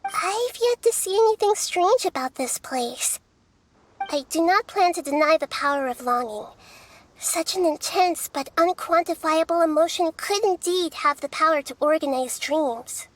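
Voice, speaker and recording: A woman voicing a small, childlike goddess in a video game, who speaks in a soft, measured, analytical way. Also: very high-pitched, lively intonation, slight background noise, low-quality recording. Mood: pondering. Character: small childlike goddess, calm, curious and scholarly. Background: game soundtrack music.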